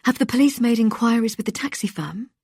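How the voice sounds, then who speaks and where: A woman voicing a cheery young female radio presenter with a smooth, velvety voice in an audiobook. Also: fairly high pitch, animated, fast talker, no room sound, clean studio sound, pitched above the performer's own voice, exaggerated voice. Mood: chirpy. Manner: bright and chirpy. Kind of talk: audiobook character voice.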